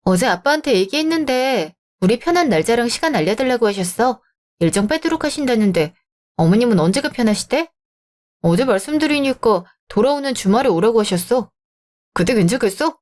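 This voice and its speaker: A woman's voice: on the high side, expressive, a little fast, a little mellow, natural voice. A woman voicing an earnest, reassuring young man in a radio drama. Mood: coaxing. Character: caring young adult man, earnest boyfriend.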